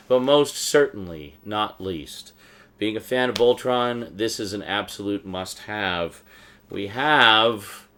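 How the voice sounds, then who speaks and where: A man's voice: fairly low pitch, sharp tone. A man giving casual, unscripted show-and-tell commentary as a vlogger, rambling along with a fan's enthusiasm. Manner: rambling, enthusiastic fan talk. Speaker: vlogger, collector showing DVD purchases.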